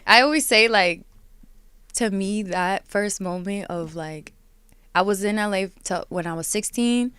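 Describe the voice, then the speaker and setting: A woman in her twenties from Miami, chatting casually as an interview guest, relaxed and laughing, with many filler words. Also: medium pitch, a little slow, clear, resonant tone, no echo. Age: 20s. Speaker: guest artist.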